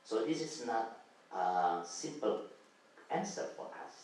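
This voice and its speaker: A man's voice: lowish voice, expressive, slow pace, a little mellow. A man answering at a conference session, explaining earnestly and searching for words. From Indonesia. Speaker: academic panelist. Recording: echoey conference hall, distant mic, faint noise.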